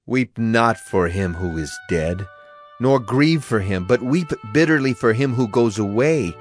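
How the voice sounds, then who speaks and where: A man's voice: mid-range voice, expressive, unhurried, lightly acted. Man acting a stern, wrathful prophet over music. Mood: solemn, commanding. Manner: solemn, declamatory. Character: stern male Hebrew prophet pronouncing God's wrathful judgment. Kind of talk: dramatized scripture reading.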